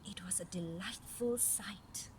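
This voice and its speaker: A woman's voice: medium pitch, slow, slight background noise. A mother reading a children's story aloud, breaking off for casual explanations and questions to her child.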